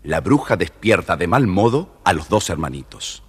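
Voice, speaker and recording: Guy telling a kids story, low raspy voice, really animated, big reverb on it. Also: moderate pace, a little hiss or hum. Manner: expressive, confiding, theatrical. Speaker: storyteller narrator. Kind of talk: dramatic scripted children's narration.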